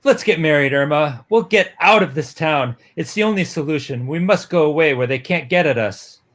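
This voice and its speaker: A man playing a lovestruck, hot-headed young boyfriend in a romance comic reading, with halting, melodramatic delivery. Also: a little high, fairly bright tone, lightly acted. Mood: tender, eager.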